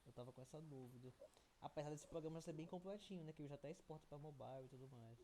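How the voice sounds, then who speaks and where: A woman's voice: deep voice, fast talker, warm tone. A woman. She talks conversationally as a participant in an online discussion, often seeking agreement as she goes. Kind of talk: casual discussion.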